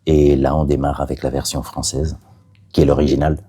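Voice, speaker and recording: A man whose first language is Catalan, a playwright talking about his play in an interview, hesitant, with many fillers and restarts, and trailing off softly. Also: extremely low pitch, flat delivery, a little fast, husky. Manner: soft-spoken, trailing off.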